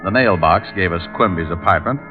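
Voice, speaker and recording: A male actor plays a cool private eye in a vintage radio mystery. His voice sits fairly low, and he talks in a breezy, wry, probing way. The sound is slightly dull, like old radio. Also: brisk, over music, lightly acted. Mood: brisk narrating. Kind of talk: old-time radio detective acting and narration. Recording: muffled vintage radio recording.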